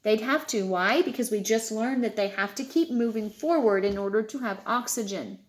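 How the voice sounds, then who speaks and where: A woman reading a children's picture book aloud, explaining as she goes and halting over harder words. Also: fairly high pitch. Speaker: storybook reader.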